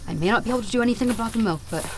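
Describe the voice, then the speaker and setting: A woman's voice: fairly high pitch, rapid-fire, music in the background, close to an everyday voice, low-quality recording. An actress playing a stubborn, wounded young farm woman in a naturalistic audio drama, sardonic and determined. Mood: angry, frustrated. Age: young adult. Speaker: lead actor in audio drama. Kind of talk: naturalistic audio drama acting with inner narration.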